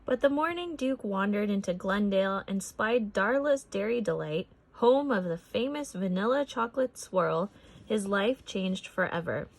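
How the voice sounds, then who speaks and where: A woman narrating a picture book as a read-aloud for children, expressive and deliberate in her phrasing. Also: mid-range voice.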